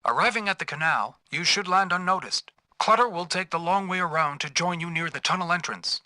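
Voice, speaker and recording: A man's voice: high voice, fairly quick, sharp tone. A man playing a businesslike spy team leader, giving instructions in a scripted video game briefing. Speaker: mission planner.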